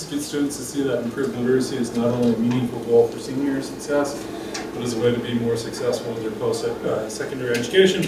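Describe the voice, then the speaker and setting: A man, a teacher, briskly going over his goals for parents, with fillers. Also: mid-range voice. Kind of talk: addressing parents at a school open evening. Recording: echoey classroom from far off, background noise.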